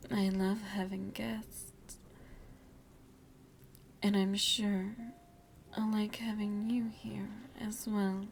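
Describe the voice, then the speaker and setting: A woman playing an elegant, seductive, motherly vampire lady of the manor in a softly half-whispered ASMR roleplay, genteel and coaxing. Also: medium pitch, slight background noise. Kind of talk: ASMR roleplay, soft breathy speech.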